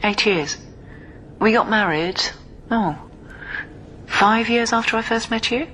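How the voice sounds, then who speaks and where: An actress playing a guarded, earnest woman intermediary in a radio drama, speaking in a measured way. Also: fairly high pitch, slight background noise, low-quality recording. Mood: guarded, defensive. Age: 30s. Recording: radio drama with loud music behind.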